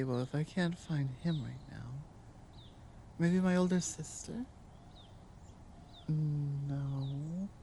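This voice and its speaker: Woman voicing an ancient female dragon, dark mellow tone, proud and commanding, weighed by guilt, halting pauses, ASMR roleplay, faint birdsong behind. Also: low voice, slight background noise, heavily acted. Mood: worried, shaken. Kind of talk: ASMR roleplay audio drama.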